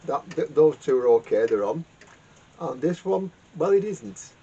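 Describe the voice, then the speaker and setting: A man giving a casual, unscripted repair demonstration in a halting, rambling way, often repeating words as he explains. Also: a little high, lively intonation, mellow. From United Kingdom. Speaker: vintage radio repairer and video host. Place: home garage workshop. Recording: dull lo-fi sound with clear background noise.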